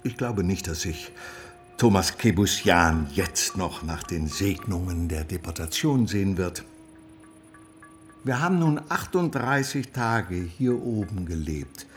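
An actor playing a bitter council member who speaks in a dry, sardonic way in a radio play. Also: male voice, deep voice, animated, unhurried, soft background music. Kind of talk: German radio play acting.

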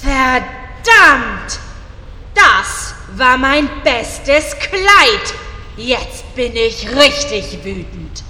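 A woman's voice: high voice, big pitch swings, extremely slow, pushed and bright, exaggerated voice. A woman playing a haughty, easily angered dragon lady in a parody fantasy radio play. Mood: furious. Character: haughty dragon lady boss, part dominatrix, easily enraged. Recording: roomy hall reverb, faint noise.

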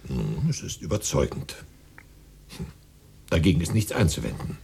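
A man playing a sceptical, deliberate poet and grumbling customer in a radio drama. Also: low-pitched, very animated, vocal fry, a little mellow, a little hiss or hum, exaggerated voice. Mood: sceptical, grudging. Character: sceptical, modest male poet running a business.

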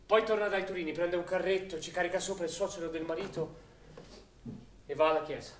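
An actor playing a grave narrator of a wartime tragedy, with theatrical delivery that moves between hushed and forceful. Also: male voice, high voice, steady tone, a little fast, lightly acted. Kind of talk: dramatic scripted stage narration. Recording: echoey, distant recording with faint noise.

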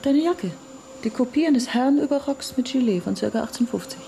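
A blunt, mocking woman in a radio play. Her voice sits fairly high and swings widely in pitch, with sardonic bite. There is faint noise behind her. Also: animated, quick pace, vocal fry, warm tone. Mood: dry, sceptical. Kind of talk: German radio drama acting.

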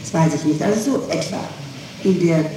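A woman is being questioned on an old tape recording. She sounds far from the microphone in a very echoey room, with clear noise over her voice. She answers haltingly, correcting herself and insisting on details. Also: low voice. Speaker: person under police questioning.

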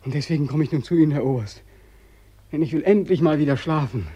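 An actor playing a haunted, sleepless young ex-sergeant in a German radio play, speaking with insistent, feverish intensity in a husky voice. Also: male voice, fairly high pitch, fast, dark tone, highs cut off. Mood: weary, pleading. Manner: intense, urgent, insistent repetition.

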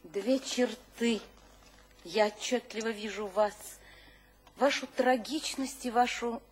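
An actress playing an imperious, fervently mystical literary salon hostess in an audio drama, with theatrical declamation and dramatic pauses. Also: female voice, on the high side. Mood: intimate, imperious. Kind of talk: audio drama stage acting.

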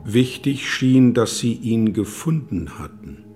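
An actor playing a sober narrator in a radio play, reading literary prose in a measured, matter-of-fact manner. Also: male voice, medium pitch, lively intonation, a little mellow, faint music behind.

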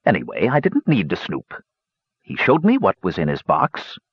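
A man's voice: fairly low pitch, huge pitch swings, moderate pace, slightly rough, no room sound, lo-fi sound, full character voice. A man voicing a grumpy, battle-weary old dwarf hermit in a fantasy audiobook, with coarse, growling, folksy rustic speech. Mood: insulted.

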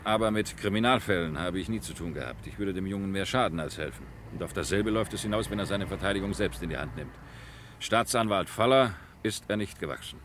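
An older small-town lawyer speaks in a low voice. He is fatherly and worried, explaining things in a measured way, in an old radio play with slight noise. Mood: earnest.

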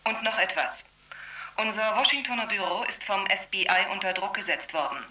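An actress playing a composed, firm television news anchor in a radio drama, speaking formally and emphatically. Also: female voice, mid-range voice, normal speed, sharp tone, distant mic. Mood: firm, defiant.

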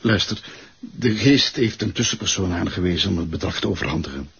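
Cornered schemer, Flemish accent, husky, varied pitch, dull radio sound. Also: male voice, animated, fairly quick, a bit bright, exaggerated voice. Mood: tense. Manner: evasive, placating, dismissive. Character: nervous male schemer being squeezed for money. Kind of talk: radio play acting.

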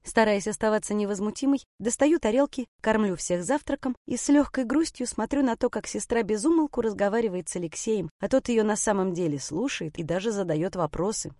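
A woman's voice: medium pitch, animated, brisk, few pauses, dark tone, no room sound, studio quality. A woman reading a scripted first-person story as an audiobook narrator.

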